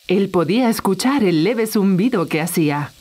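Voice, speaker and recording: A woman's voice: medium pitch, lively intonation, normal speed, warm tone, natural voice. A woman narrating a children's cartoon as a kindly storyteller, reading scripted lines. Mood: calm storytelling. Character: kindly storyteller narrating a little boy's day.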